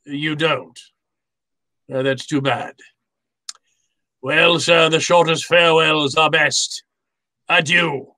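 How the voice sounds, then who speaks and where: An actor playing a genteel, wily fat crime boss in old-time radio drama style, with florid, courtly manners. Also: male voice, a little high, fairly even intonation, pushed and bright, no room sound. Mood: mock courtesy. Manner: florid, courtly, unhurried, chuckling politeness. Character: fat, genteel, wily middle-aged criminal mastermind.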